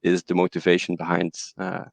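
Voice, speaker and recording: A man with a West Flemish accent, his first language Dutch, talks about his work in a relaxed, self-deprecating way. His voice has a gravelly, creaky edge. The sound is dry and close, as on a video call. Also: low voice, a little fast, dry recording. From Belgium. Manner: relaxed, self-deprecating storytelling with many restarts. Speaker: guest, agile coach. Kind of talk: casual interview talk.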